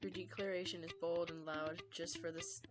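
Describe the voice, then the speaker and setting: A woman streamer reading a visual novel aloud in an expressive way, sometimes stumbling over the words. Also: lowish voice, fairly quick, over music. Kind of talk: live read-aloud narration with casual asides.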